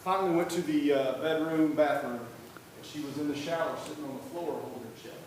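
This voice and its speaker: Loud-voiced man, echoey far-off room. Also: a little high. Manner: halting, many fillers and restarts. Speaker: husband telling his story at a meeting. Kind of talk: personal account at a public meeting. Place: county board meeting room. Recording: echoey room, mic at a distance, noisy.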